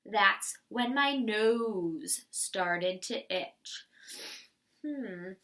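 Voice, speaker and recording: A woman voicing a chatty male wolf who makes excuses and confides in listeners, in an animated children's storybook read-aloud. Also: mid-range voice, very slow, far from the mic, pitched below the performer's own voice, full character voice. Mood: matter-of-fact, sly. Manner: confiding, addresses listeners directly.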